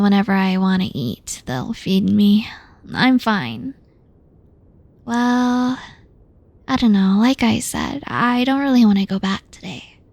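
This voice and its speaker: A woman voicing a gloomy young woman who confides in a close friend, talking softly and often half-whispering, with sighs. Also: fairly high pitch, vocal fry, no room sound, full character voice. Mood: weary. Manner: soft-spoken, often half-whispered, sighing. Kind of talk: one-sided audio roleplay to the listener.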